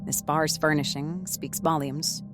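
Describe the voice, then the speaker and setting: Weary diner manager, very low female voice, sardonic, halting, audio drama, music underneath. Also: steady tone. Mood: tired, deadpan. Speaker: lead voice actor. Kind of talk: horror comedy audio drama. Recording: music behind the voice.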